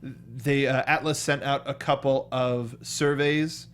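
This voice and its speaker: A man's voice: medium pitch, steady tone, slow, a bit bright. An American man hosting an unscripted film review chat, often repeating and restarting his words. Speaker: talk show host.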